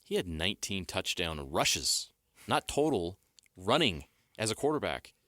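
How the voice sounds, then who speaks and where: A man's voice: low-pitched, big pitch swings, bright, forceful tone, no room sound, studio quality. A man hosting a sports radio show, asking pointed questions and rattling off stats. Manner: leading questions, rapid stat rundowns.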